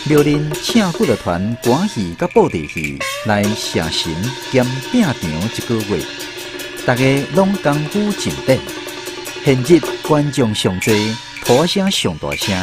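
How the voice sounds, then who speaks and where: A man's voice: medium pitch, big pitch swings, unhurried. A man with a Taiwanese accent narrating a radio drama as a storyteller. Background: music.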